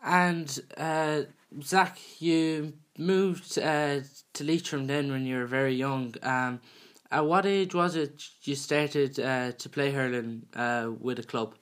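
A man's voice: medium pitch, slow pace, fairly bright tone, smooth. A man hosting an interview, putting prepared questions to a guest. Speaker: show host, interviewer.

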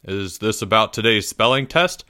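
A man's voice: lowish voice, animated, moderate pace, sharp tone, no room sound, studio quality. A man voicing a stern, suspicious schoolteacher in a story, clipped and authoritative. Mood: firm, concerned. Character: stern, suspicious male schoolteacher, easily exasperated.

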